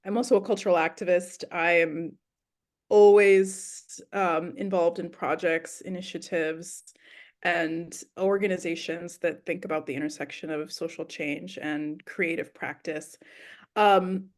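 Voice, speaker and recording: A woman's voice: on the low side, fairly even intonation, unhurried. A woman born in Ethiopia, talking as an interview guest about her music in a thoughtful, storytelling way with frequent ums and you-knows. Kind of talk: casual interview talk.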